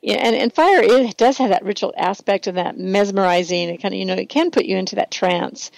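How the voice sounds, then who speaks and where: Creaky-voiced woman hosting a casual talk show. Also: mid-range voice, brisk, a little mellow, dry recording, low-quality recording. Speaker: radio show host. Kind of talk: casual interview.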